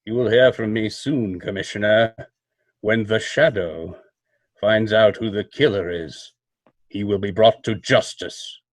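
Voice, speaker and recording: Man as mysterious vigilante, old-time radio drama, low pitch, dry close sound, measured, commanding. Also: no room sound. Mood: ominous, commanding. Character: commanding, ominous male crime-fighting vigilante.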